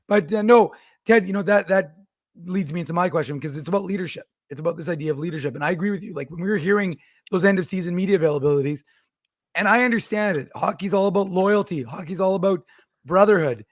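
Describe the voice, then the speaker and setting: Hoarse man with a gravelly voice. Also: high-pitched, fast talker, dry recording, clean studio sound. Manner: rambling, builds questions out loud. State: hoarse, has lost his voice. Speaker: co-host. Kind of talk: casual sports talk.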